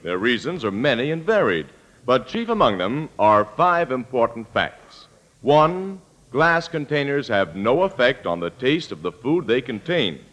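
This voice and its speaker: A man's voice: mid-range voice, sing-song, measured pace, a bit bright. An American man working as a radio announcer, reading scripted copy formally and emphatically in 1940s style. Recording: vintage radio broadcast recording.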